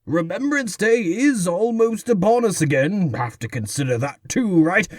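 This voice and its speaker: A man's voice: sits high, animated, normal speed, no room sound, studio quality. A man playing a pompous, theatrical mayor in a comic dub, with a grandiose, condescending manner. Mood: grave, theatrical. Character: pompous, theatrical male mayor of a tiny exiled community. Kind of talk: comic dub character acting.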